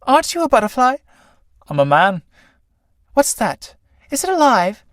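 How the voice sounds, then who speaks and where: A performer reading a play aloud, voicing a vain, flighty female butterfly in a high, affected, gushing voice. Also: sing-song, normal speed, dry recording, studio quality, lightly acted. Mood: flirtatious. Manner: gushing, affected, breathy swoops.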